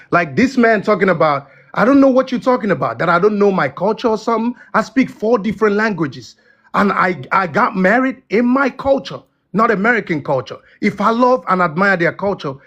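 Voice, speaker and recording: A man's voice: high-pitched, a bit bright, highs cut off. A man on a debate panel, talking brashly in slang and often asking "you feel me". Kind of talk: casual debate.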